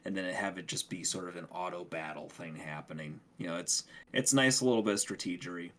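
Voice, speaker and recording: A man's voice: deep voice, a little fast, fairly bright tone. A man giving a casual game review as a podcast co-host, recorded with slight noise. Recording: faint background noise.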